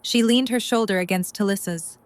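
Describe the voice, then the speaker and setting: A woman's voice: mid-range voice, fairly quick. Woman narrating a scripted story.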